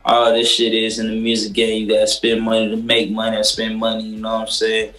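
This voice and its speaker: Young guy on a couch video call, low voice, chill slangy talk, echoey room. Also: completely flat delivery, a little fast, a bit bright. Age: 20s. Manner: laid-back, loose slang, many fillers. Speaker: rapper guest. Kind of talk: casual interview chat. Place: living room couch, indoors. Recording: roomy echo, faint music.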